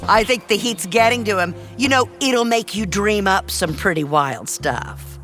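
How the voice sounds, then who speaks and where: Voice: mid-range voice, lively intonation, measured pace, fairly bright tone, faint music behind. An actor voicing a feisty, boastful old adventurer in a comic video game, with a dry, reminiscing manner.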